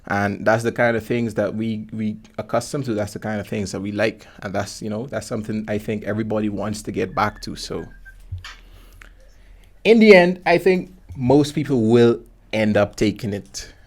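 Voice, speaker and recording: A man's voice: fairly low pitch, moderate pace. A man from Guyana, hosting a podcast in a casual, laid-back conversational style full of informal slang. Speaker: podcast host. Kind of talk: casual conversational interview chat.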